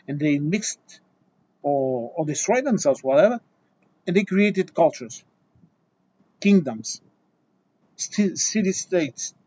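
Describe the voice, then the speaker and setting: Portuguese man, fairly high voice, lecturing and exasperated, dull lo-fi recording. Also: darkish tone. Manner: exasperated, lecturing, many restarts and repeated words. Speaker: video essayist. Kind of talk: impassioned opinion monologue.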